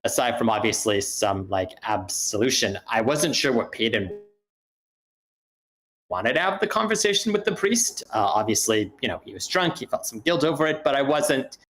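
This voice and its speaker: A man's voice: mid-range voice, lively intonation, normal speed, fairly bright tone, low-quality recording. A man giving spoken script feedback in a group discussion, speaking hesitantly and circling back to rephrase his points.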